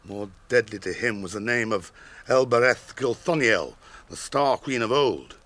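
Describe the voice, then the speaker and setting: An actor playing a grave, watchful ranger in a radio drama, with a British accent and solemn, measured phrasing. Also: male voice, medium pitch, animated, a bit bright. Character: grave, watchful ranger guiding hunted companions.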